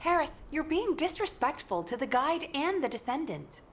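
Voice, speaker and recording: An actress playing a capable, stern, dryly wry woman soldier with a brisk manner in a video game cutscene. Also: high-pitched, sing-song, slight background noise. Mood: exasperated, brisk.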